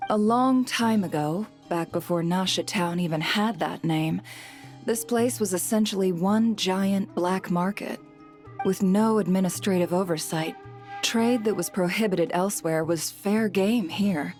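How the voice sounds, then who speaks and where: A poised, shrewd guild boss lady played by a woman in a video game. She speaks in a composed, deliberate, businesslike way at a medium pitch, with music playing behind her. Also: a little mellow, close to an everyday voice. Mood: composed explaining. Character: poised, shrewd female guild leader giving out a commission.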